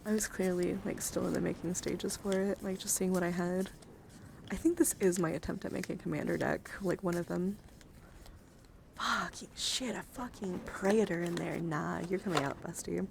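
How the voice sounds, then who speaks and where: A woman livestreamer chatting casually and informally, excitable and prone to swearing, as she talks viewers through her hobby. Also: fairly low pitch, normal speed, vocal fry, slight background noise. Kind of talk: casual livestream chat while sorting trading cards.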